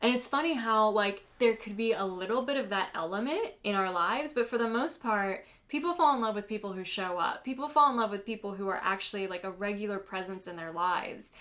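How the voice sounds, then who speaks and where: A woman's voice: mid-range voice, animated, brisk, hardly any pauses, a bit bright. Chatty woman hosting a solo podcast. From United States. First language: Polish. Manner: chatty and earnest, with frequent "like" and "you know". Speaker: podcast host and business coach. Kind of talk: casual solo podcast monologue.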